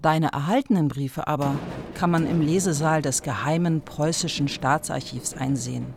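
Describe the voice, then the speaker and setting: A woman delivering literary scripted narration for a radio play in a measured, lyrical way. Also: deep voice, background noise. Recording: music behind the voice.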